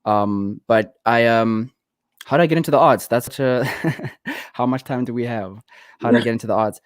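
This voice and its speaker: A man's voice: mid-range voice, normal speed, no echo. A young South African man with a South African accent, a guest artist talking casually in an interview. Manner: relaxed, many fillers and restarts.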